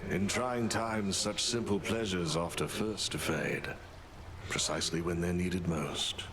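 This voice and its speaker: A man voicing a genial, wry tactician spirit in a video game, speaking in a genteel, measured, philosophical way. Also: low voice, steady tone, background noise.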